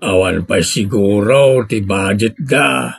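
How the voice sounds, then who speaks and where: A man's voice: fairly low pitch, takes it slowly, vocal fry, breathy edge, heavily acted. A man with a Filipino accent playing a grumbling adult family member in an audio drama, talking conversationally.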